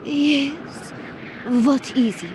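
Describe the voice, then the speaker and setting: A woman's voice: sits high, expressive, drawn-out words, background noise, full character voice. A woman playing a melodramatic, exotic femme fatale with formal, stilted phrasing in a noir audio drama. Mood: guarded.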